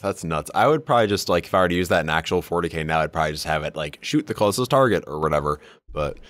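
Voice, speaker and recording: A man's voice: sits low, animated, fast, a bit bright, no echo. A man co-hosting a podcast, chatting informally about tabletop game lore and peppering his talk with "like". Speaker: podcast co-host.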